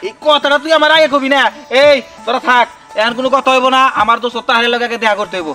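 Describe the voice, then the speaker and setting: An actor playing a warm-hearted, eager village friend in a drama, with a rural Bangladeshi accent. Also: male voice, very high-pitched, fast talker, soft background music, full character voice. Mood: excited. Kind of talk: Bangla short-film drama acting.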